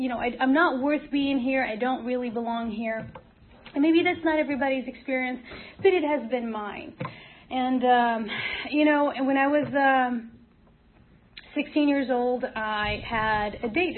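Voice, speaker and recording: A woman from Morocco giving a personal testimony as a speaker at a support group, in a halting, hesitant manner with repeated false starts. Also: on the high side. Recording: tinny phone-like audio, clear noise, clicks.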